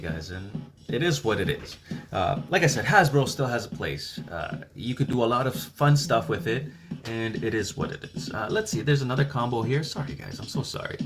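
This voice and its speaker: A man's voice: medium pitch, noisy background. A middle-aged man from El Salvador, hosting a casual livestream Q&A. He rambles in a chatty way and often restarts his words. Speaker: YouTube channel host. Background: music playing.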